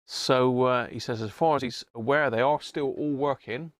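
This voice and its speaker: A man's voice: medium pitch, moderate pace, no echo, studio quality. A British man hosting a livestream, chatting to viewers in an informal, matey, casual way. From United Kingdom. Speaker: livestream host. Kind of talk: casual live chat to viewers.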